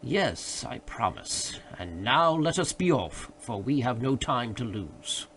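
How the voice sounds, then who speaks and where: Guy reading as a pompous keyed-up gentleman in an old story, insistent, pitch moves around a lot, some noise. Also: sing-song, slow, full character voice. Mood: resolute. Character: excited, grandiloquent gentleman obsessed with a strange quest. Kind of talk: literary audiobook character dialogue.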